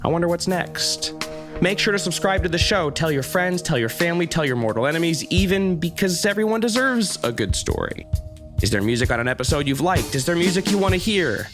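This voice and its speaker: A man's voice: fairly high pitch, animated, fairly quick. A man with an American accent hosting a podcast, delivering scripted announcements and credits. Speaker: podcast host. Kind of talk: scripted outro narration. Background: music.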